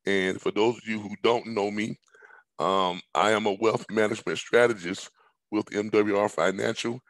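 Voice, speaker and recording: A middle-aged man with a hoarse, somewhat low voice pitches a business idea from his home over a headset on a video call. He rambles, stacks up repeated words and fills gaps with ums. The sound is close and dry. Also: dry recording, low-quality recording. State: hoarse, recovering from illness. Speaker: business presenter. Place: indoors at home, large room with staircase.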